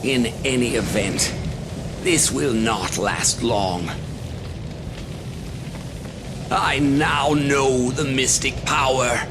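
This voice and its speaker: A man playing a scheming, cruel undead old sorcerer in a video game, theatrical and mocking, speaking slowly and deliberately. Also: mid-range voice, background noise, close to an everyday voice. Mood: contemptuous. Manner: theatrical, gloating, slow and deliberate. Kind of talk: video game villain acting. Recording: loud background music over voice.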